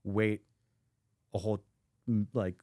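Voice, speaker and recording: Podcast guest, young man from Israel, low voice, rambly casual talk, dry close mic. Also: level tone, takes it slowly, darkish tone, no room sound. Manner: rambling, heavy use of "like" and "you know". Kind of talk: casual chat.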